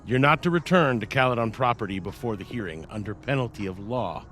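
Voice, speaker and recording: A stern campus security officer played by a man in a video game. His voice sits at a middle pitch, firm and formal, with faint music behind. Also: animated, a little fast, fairly bright tone, lightly acted. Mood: firm, flat. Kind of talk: naturalistic video game voice acting.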